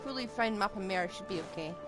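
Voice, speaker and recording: A woman's voice: medium pitch, quick pace. A woman doing casual, rambling live commentary as she plays a video game. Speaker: game streamer. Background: game music.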